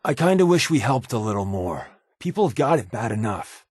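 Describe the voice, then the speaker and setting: A wisecracking undercover spy from a video game. He is an American man with a mid-pitched voice that swings freely up and down. His talk is glib, casual and sardonic, recorded close and dry. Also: very animated, a little fast, a little mellow, husky, no room sound. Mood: guarded, disapproving. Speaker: video game companion character. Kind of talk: video game voice acting, conversational dialogue.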